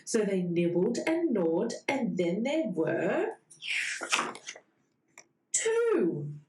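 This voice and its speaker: A woman reading a bedtime storybook to young children in a sing-song way, with dramatic pauses before key words. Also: medium pitch, big pitch swings, dark tone, slight background noise. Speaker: children's read-aloud host. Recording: roomy echo.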